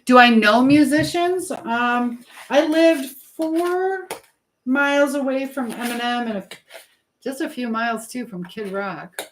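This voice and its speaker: A woman hosting a casual live chat, reading comments and answering them in a rambling, halting way as she thinks aloud. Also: high voice, slight background noise.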